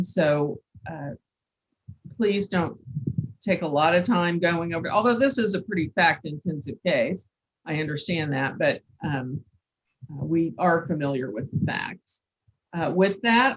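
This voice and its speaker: A judge presiding over a court hearing on a video call, measured, with some fillers. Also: medium pitch, low-quality recording.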